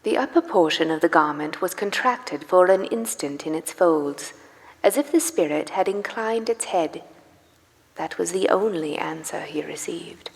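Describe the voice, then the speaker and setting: A woman as the solemn storyteller of a live radio play, narrating in a measured, suspenseful and theatrical way. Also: lowish voice, in a large hall, poor audio. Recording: music under live stage narration.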